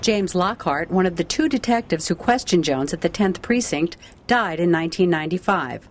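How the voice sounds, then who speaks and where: A woman with a fairly low voice narrates as an investigative reporter. Her delivery is scripted news storytelling. There is faint ambient noise in the recording. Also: expressive, moderate pace.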